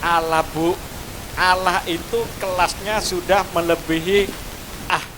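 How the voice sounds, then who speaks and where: A man's voice: sits high, flat delivery, measured pace, background noise. Man with a Madurese accent, preaching. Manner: preachy, addresses listeners with Javanese honorifics. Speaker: school speaker. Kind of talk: graduation speech to parents and students. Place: outdoor school courtyard.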